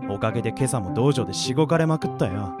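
An actor playing a rough-spoken, exasperated teenage schoolboy, the straight man in a comedy radio drama. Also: medium pitch, animated, fast talker, natural voice. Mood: weary, grumbling. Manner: blunt, rough-spoken, retorting. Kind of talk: anime-style comedy radio drama acting. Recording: loud music bed under the voice. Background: music.

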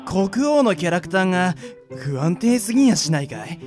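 A man's voice: sits high, sing-song, normal speed, darkish tone, soft background music. A man playing a flippant, easygoing young adventurer with casual, teasing speech in a comedic radio drama. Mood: wry.

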